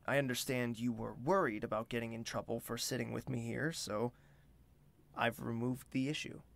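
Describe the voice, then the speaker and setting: An actor playing a gentle, reserved young prince in an audio drama, courteous and measured, with formal wording and a self-doubting streak. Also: male voice, mid-range voice, fairly even intonation, creaky, close to an everyday voice. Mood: composed, courteous. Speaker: character actor and first-person narrator. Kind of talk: scripted audio drama with inner monologue.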